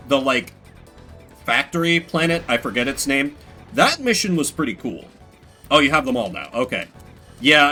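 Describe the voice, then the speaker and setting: A man streaming games, chatting casually with viewers in a rambling, story-telling way. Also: a little high. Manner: rambling, animated retelling with acted-out quotes. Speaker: game streamer. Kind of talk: casual livestream chat with viewers.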